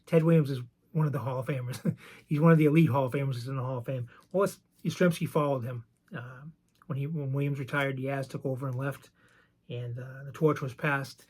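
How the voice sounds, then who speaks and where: A man with a gravelly voice from medication, hosting a casual show-and-tell of his vintage baseball cards. He talks in an easygoing, chatty way and often wanders into side stories. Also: mid-range voice, fairly even intonation, fairly quick, a little mellow. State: voice roughened by medication. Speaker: vintage card collector and channel host.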